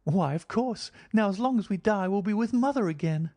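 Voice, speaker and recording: A man reading an audiobook, voicing an innocent little frontier girl in a high, childlike rustic dialect. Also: animated, moderate pace, warm tone, dry recording. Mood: eager. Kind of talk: audiobook character voice.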